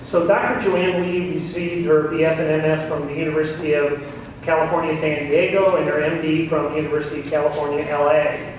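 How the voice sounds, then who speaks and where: A man introducing a guest speaker at a seminar, speaking hesitantly and partly reading from notes. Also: on the high side. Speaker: event host introducing a speaker. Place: large room at a community seminar. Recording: very echoey room, distant mic, faint noise.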